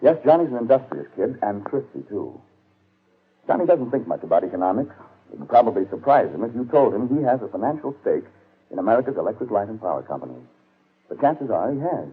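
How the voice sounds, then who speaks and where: A man's voice: medium pitch, big pitch swings, fast, slightly rough. A man reading a scripted 1940s radio commercial as an announcer. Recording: tinny 1940s radio broadcast.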